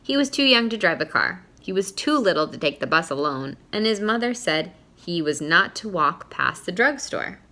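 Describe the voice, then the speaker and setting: A woman's voice: on the low side, sing-song, moderate pace, few pauses, bright, forceful tone, slight background noise. A woman reading a children's picture book aloud expressively, stopping to put questions to young listeners.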